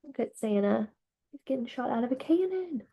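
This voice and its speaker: A woman reading a picture book aloud to young children in an expressive storytelling manner. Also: fairly high pitch, moderate pace, vocal fry, husky, muffled recording.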